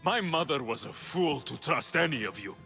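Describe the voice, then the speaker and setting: A man's voice: on the high side, expressive, moderate pace, gravelly, sharp tone, big-room sound, soft background music, exaggerated voice. A man playing a fierce, vengeful mercenary hero with an intense, accusing delivery in a video game cutscene. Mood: accusing, angry. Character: fierce, vengeful mercenary hero seeking his lost family. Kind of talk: dramatic game cutscene acting.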